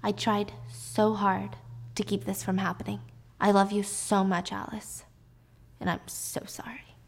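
A woman's voice: mid-range voice, level tone, unhurried, gravelly. Girl doing a whiny sorry husband, plaintive and defensive, movie recap style. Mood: remorseful. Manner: plaintive, apologetic. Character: guilt-ridden, defensive, whining young husband. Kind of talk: acted dialogue in a movie retelling.

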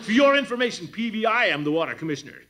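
A man's voice: high-pitched, sing-song, rapid-fire, background noise, pitched above the performer's own voice. A man doing a put-on foreign dialect as a fake immigrant gardener speaking broken English, in 1940s radio comedy. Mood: bluffing.

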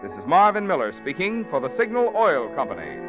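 A man's voice: on the high side, big pitch swings, brisk, over music. A man announcing and reading sponsor copy on a 1940s radio show. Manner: smooth salesman delivery. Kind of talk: scripted radio commercial. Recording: muffled old radio broadcast.

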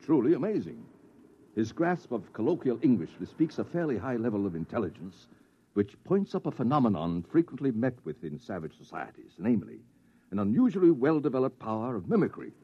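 Pompous lecturing professor, radio play, real animated, bit muffled old recording. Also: male voice, medium pitch, fairly quick, slight background noise. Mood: fascinated, condescending. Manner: pedantic, lecturing, smug. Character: pompous, condescending male academic professor. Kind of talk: old-time radio drama acting.

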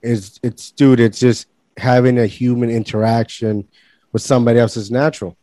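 A man's voice: mid-range voice, fairly even intonation, moderate pace, dark tone, no echo. A man in his thirties from Puerto Rico chatting informally, repeating words as he goes. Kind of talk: casual conversation.

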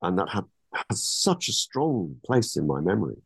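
A middle-aged man with a low, gravelly, slightly husky voice talks through ideas in a thoughtful, halting way, often stopping to restart a phrase. The sound is dry and close, with no room around it. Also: sing-song, no room sound. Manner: hesitant, many restarts and fillers, reflective. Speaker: author guest. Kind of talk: academic interview over a call.

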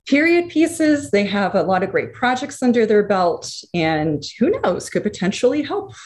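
A woman's voice: medium pitch, sing-song, normal speed, darkish tone. A woman in her thirties, a former archaeologist turned screenwriter, telling her story in a chatty way with many fillers and restarts. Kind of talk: casual interview storytelling.

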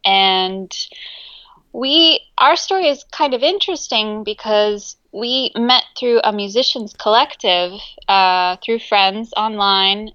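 A woman's voice: mid-range voice, pushed and bright, dry recording, highs cut off. A woman musician being interviewed in a casual chat, speaking hesitantly with many ums and easy laughter. Her first language is Greek. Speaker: musician guest.

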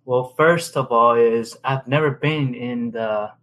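A man's voice: mid-range voice, slow, fairly bright tone. Young man with a Chinese accent. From China. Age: young adult. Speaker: podcast guest, company director. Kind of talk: casual interview.

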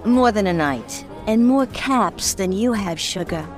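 A woman as a sultry, lively noir hostess over music. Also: mid-range voice, a little slow, close to an everyday voice. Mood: teasing, dismissive. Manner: flirtatious, teasing, self-assured. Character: sultry, sassy, dangerous nightclub hostess woman. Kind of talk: noir radio drama acting.

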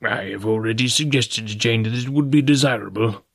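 A man's voice: medium pitch, expressive, a little fast, fairly bright tone, no room sound, studio quality, natural voice. A man voicing a fussy, courteous, anxious old professor in an audiobook story. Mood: courteous, resigned. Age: older.

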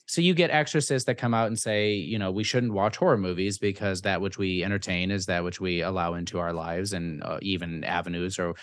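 A man hosting a conversational interview, speaking deliberately and haltingly, often checking in with short tag questions. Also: low voice, fairly even intonation, no echo. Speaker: podcast host.

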